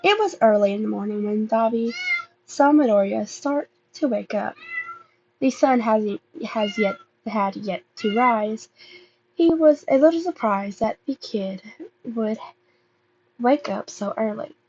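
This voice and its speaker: A woman reading fan fiction aloud as an amateur narrator, halting and often stumbling over and repeating words. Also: a little high, a little mellow, dull audio, a little hiss or hum. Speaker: amateur podfic reader.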